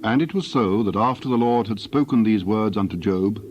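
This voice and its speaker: A man narrating a dramatized scripture reading in a measured, solemn storytelling way. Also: on the low side, a little mellow. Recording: dull, faint background ambience noise.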